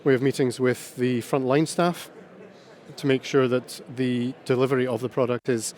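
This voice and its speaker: Halting man at a panel. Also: mid-range voice, darkish tone. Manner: halting, many ums and uhs, slow pauses. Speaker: award winner speaking on a panel. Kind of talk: hesitant conference talk.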